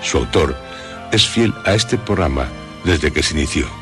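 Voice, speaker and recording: A man with a Castilian Spanish accent presenting a horror radio show in a solemn, suspenseful scripted narration with dramatic pauses. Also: extremely low pitch, lively intonation. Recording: loud music under the voice. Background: background music.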